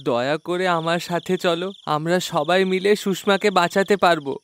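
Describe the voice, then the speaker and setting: Man acting an anxious high-voiced village husband. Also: normal speed, a bit bright, clear, resonant tone. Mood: urgent. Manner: pleading, earnest. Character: remorseful, anxious village husband seeking his kidnapped wife. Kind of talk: cartoon character acting.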